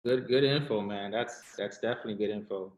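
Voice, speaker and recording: A man's voice: on the low side, fairly quick, roomy sound, poor audio. A man in a casual training session, speaking a bit hesitantly as he invites others to share. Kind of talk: casual group training talk.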